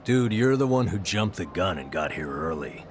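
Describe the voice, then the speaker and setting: A laid-back video game sidekick with a very low voice. He talks in casual, wry slang with a teasing edge. Music is clearly heard behind him. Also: sing-song, normal speed. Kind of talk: video game cutscene acting.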